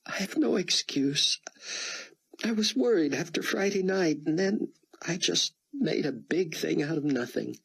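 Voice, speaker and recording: A teary young woman with a soft, whispery, breathy voice , as a male narrator puts her on. She sounds raised and lifted, with lively swoops in her lines. The audiobook reading stays intimate and quiet. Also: fairly high pitch, a little slow, pitched above the performer's own voice, exaggerated voice, poor audio. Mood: tearful. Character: young woman, tearful and apologetic. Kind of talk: audiobook character voice.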